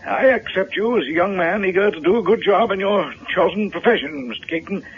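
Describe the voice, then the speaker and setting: Pompous, high-voiced indignant physician. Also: male voice, lively intonation, a little fast. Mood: irritated, condescending. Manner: pompous, precise, long-winded formal diction. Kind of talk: 1970s radio drama acting. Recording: dull lo-fi vintage radio recording.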